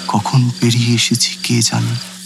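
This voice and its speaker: A man's voice: mid-range voice, monotone, measured pace, creaky, loud music behind the voice. A male actor playing a former judicial officer who narrates his night journey as a scripted, literary first-person audio story. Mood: reflective.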